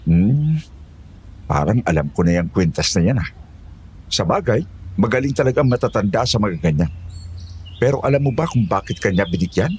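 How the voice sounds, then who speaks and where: A man's voice: lowish voice, big pitch swings, moderate pace, pauses often, a little mellow, husky, heavily acted. Actor playing a probing older village man, swooping intonation. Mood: curious, musing. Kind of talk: audio horror drama acting.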